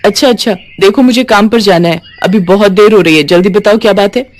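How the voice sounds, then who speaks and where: A woman voicing a brisk, kind-hearted working woman from a city slum in a moral story. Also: mid-range voice, lightly acted. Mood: hurried.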